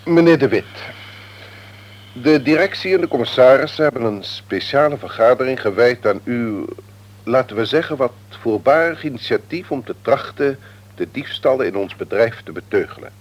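An actor playing a stern, pompous company executive in a radio play, with officious, formal phrasing. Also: male voice, medium pitch, expressive, normal speed, close to an everyday voice. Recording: old radio play recording.

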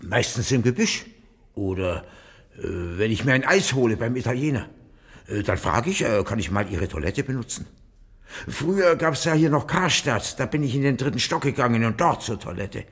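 A man's voice: mid-range voice, normal speed, breathy edge. A man playing a stubborn, literal-minded mail carrier in a radio play. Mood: deadpan. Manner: matter-of-fact, deadpan, absurdly literal. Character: hungry, stubborn male mail carrier, prone to suspicion. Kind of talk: German radio play acting.

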